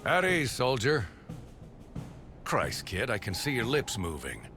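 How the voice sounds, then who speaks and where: An actor playing a gruff, foul-mouthed American military officer in a video game, blunt and sardonic, with a swaggering delivery. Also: male voice, mid-range voice, huge pitch swings, a little slow, over music. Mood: sardonic, menacing. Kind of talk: video game cutscene acting.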